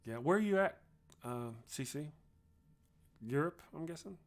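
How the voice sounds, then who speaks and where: A man's voice: a little high, expressive, measured pace. A middle-aged man with a Texas accent, hosting a casual livestream and chatting loosely with viewers, rambling with frequent restarts. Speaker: livestream host. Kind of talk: casual livestream chat, reading viewer comments.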